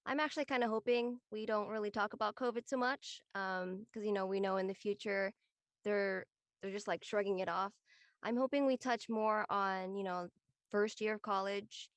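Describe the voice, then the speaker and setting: A woman chats casually as a cast member. Her voice is medium in pitch, recorded dry with hardly any room sound. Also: dry recording. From Southern California, USA. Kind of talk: casual chat.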